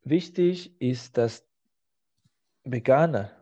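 A man hosting a language-learning podcast, speaking as a learner. He works through a mock exam talk, halting and searching for words. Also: mid-range voice, dark tone, husky, highs cut off. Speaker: podcast host.

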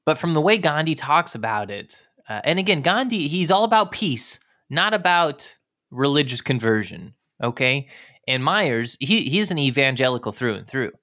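Bright-voiced man, informal podcast host. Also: on the high side, very animated, normal speed. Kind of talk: conversational history commentary.

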